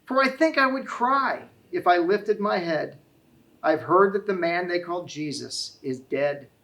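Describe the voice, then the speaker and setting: A man's voice: high-pitched, lively intonation, unhurried, a bit bright, full character voice. Man playing a sorrowful old storybook grandfather. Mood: sad. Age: older. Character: sorrowful, kindly old grandfather, a former shepherd.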